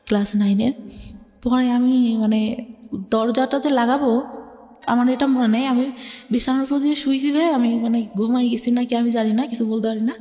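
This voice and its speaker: A young woman with a Bangladeshi Bengali accent tells her life story to an interviewer, speaking softly and hesitantly with many filler words. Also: fairly high pitch, flat delivery, normal speed, big-room sound, faint music behind. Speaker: interview guest. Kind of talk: personal life story in conversation.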